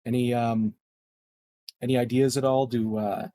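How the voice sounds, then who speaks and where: Male tabletop player, mid-pitched mellow voice, casual improvised delivery with a hesitant feel. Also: flat delivery, unhurried, no echo. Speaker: player in a tabletop roleplaying game. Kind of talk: casual in-character and table talk.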